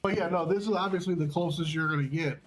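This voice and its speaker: Slightly high-voiced man, profane casual commentary. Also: fast talker, a crowd in the background, rough recording. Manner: profane, slangy, enthusiastic. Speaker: segment host and match commentator. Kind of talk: casual wrestling watch-along commentary.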